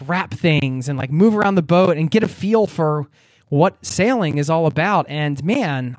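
A man's voice: fairly high pitch, no echo, studio quality. A man hosting a podcast interview in a casual, chatty way, laughing often and using plenty of fillers. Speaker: podcast host.

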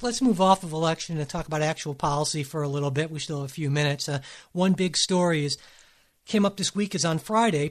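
A man co-hosting a political discussion, explaining his opinions in a casual, conversational way. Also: a little high. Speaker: political podcast co-host.

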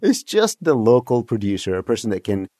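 An Egyptian man, a guest, telling stories with lively, rising and falling pitch and a ready laugh. His voice sits close and dry, with no room around it. He explains history with eager energy. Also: brisk, no room sound. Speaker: guest, documentary producer. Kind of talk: casual interview storytelling.

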